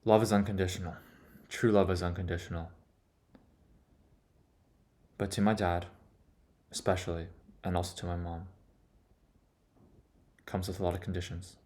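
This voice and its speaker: A man giving a personal, reflective monologue in an earnest, confessional manner, setting out his thoughts in short, deliberate phrases. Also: deep voice, level tone, darkish tone. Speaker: vlogger.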